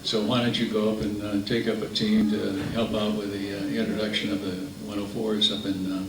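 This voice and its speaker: A man's voice: lowish voice, monotone, fairly quick, gravelly. An older man giving a rambling, good-humoured reunion speech, full of fillers. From not Norway. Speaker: former aviation contractor. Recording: echoey hall from far off, noisy.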